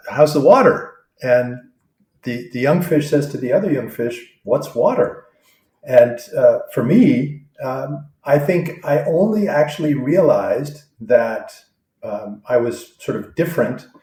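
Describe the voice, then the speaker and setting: A man in his sixties talks through his answers with plenty of fillers and restarts. His voice sits at an ordinary middle pitch. The room around him is noticeably echoey. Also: mellow. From born in Vietnam, raised abroad. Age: 60s. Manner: thoughtful, many uh fillers and restarts. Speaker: guest. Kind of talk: interview answers. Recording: roomy echo.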